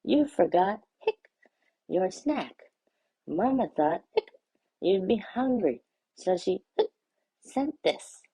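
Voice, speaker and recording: A sweet little girl monster from a storybook, played by a man, speaks in a small, high, husky voice. The delivery is childlike, halting and swoops up and down. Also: big pitch swings, warm tone, full character voice, poor audio. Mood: sweet, hiccupping.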